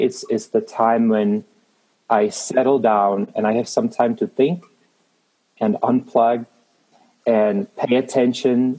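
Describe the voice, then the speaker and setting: Earnest Czech-accented man, low mellow voice. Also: rough recording. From Czech Republic. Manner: earnest, reflective, restarts often. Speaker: guest. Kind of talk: casual interview.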